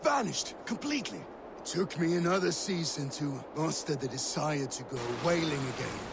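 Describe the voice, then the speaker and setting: An actor playing a seasoned, boastful Viking warrior telling a theatrical tale in a game. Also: male voice, on the high side, normal speed, creaky. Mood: dramatic yarn-spinning. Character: seasoned male Viking warrior spinning boastful sea yarns. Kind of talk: dramatic oral storytelling. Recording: steady water and boat noise behind. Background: boat on water.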